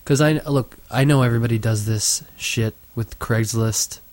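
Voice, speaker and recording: A man's voice: mid-range voice, fairly even intonation, moderate pace, darkish tone, no echo. A man co-hosting a crude casual chat show, talking in a profane, offhand way that often trails off. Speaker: podcast co-host.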